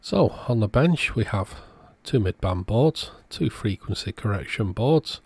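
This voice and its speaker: A man's voice: on the low side, animated, unhurried, darkish tone, breathy edge, no echo. A man giving a casual, matter-of-fact workbench narration as the host of an electronics repair video.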